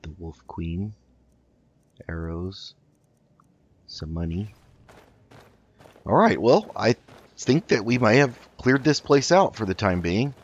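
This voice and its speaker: A man doing casual game commentary, thinking aloud in an unhurried, musing way as he roleplays. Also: fairly low pitch, big pitch swings, lo-fi sound. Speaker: gaming host.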